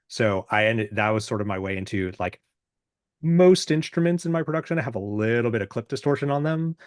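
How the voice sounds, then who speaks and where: Deep-voiced man chatting casually, close dry sound. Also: dry recording. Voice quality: deep, booming voice. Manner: halting, self-deprecating, informal. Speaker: podcast guest, musician and self-producer. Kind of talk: casual, reflective interview chat.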